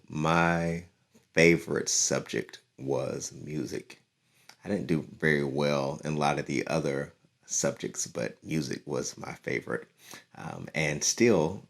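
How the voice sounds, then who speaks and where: Deep-voiced man reading a children's book. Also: gravelly. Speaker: community leader and musician reading to children. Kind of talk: children's read-aloud.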